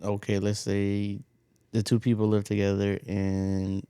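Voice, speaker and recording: Deep-voiced young man asking questions. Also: a little slow, clean tone, no echo, studio quality. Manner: steady, asks questions, explains plainly. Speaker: podcast co-host. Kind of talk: casual interview chat. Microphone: close studio mic.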